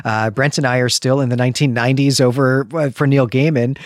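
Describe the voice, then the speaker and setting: A man's voice: mid-range voice, steady tone, brisk, no room sound, studio quality. A man co-hosting a podcast, talking about fiction in an enthusiastic, emphatic, conversational way. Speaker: podcast co-host. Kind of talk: conversational literary podcast discussion.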